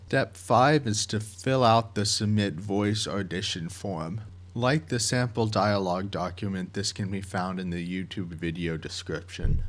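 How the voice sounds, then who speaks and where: A man with a fairly low voice delivers scripted instructional narration. He goes through each step methodically, with measured, plain wording, as someone directing a project would.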